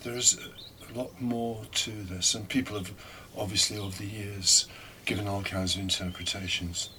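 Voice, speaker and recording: A man giving a reflective homily in an unhurried, thoughtful way with pauses. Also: lowish voice, level tone, bright, forceful tone, slight background noise.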